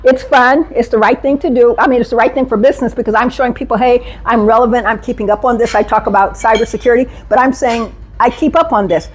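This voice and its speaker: A woman giving a live safety talk to a conference audience, energetic and engaging, often asking the room questions. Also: fairly high pitch, quick pace, hardly any pauses, slightly rough, low-quality recording. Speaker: safety speaker.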